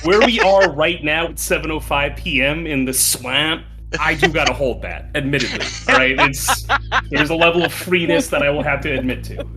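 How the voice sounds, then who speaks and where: A man's voice: on the high side, lively intonation, normal speed. A man playing a tabletop game, chatting casually with an insistent, grumbling manner. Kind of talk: casual improvised game banter. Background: music.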